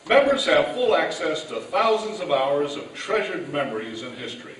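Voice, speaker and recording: A man announces in a scripted, old-time radio style from a live stage. His voice sits fairly high. It carries through an echoey hall, heard some way from the mic with faint noise. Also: sing-song, moderate pace, fairly bright tone, distant mic.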